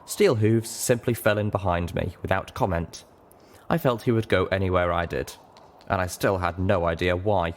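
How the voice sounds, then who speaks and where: A man's voice: sits low, moderate pace. A man reading a scripted first-person story as an audiobook narrator.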